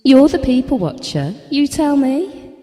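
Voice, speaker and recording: Actress as stood-up single Englishwoman, forties, prickly and wry, expressive pitch, soft-edged tone, for radio play. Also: measured pace, faint music behind. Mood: wry, playful. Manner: wry, guarded. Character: Laura, stood-up single woman of forty-five, prickly, self-deprecating. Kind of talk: naturalistic audio drama acting. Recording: long reverb on the voice.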